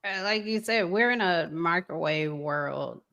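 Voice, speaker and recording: A woman with a slightly low voice talks at a very unhurried pace. She sounds like a podcast host in a reflective conversation, earnest and given to repeating phrases for emphasis. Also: gravelly, fairly bright tone, lo-fi sound. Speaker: relationship podcast host asking questions.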